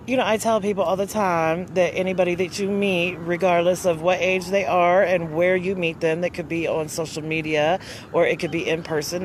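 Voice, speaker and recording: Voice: mid-range voice, slight background noise. An interviewer with a worn, fading voice asking questions in a chatty, casual way outdoors. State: losing voice. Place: outdoors by downtown waterfront.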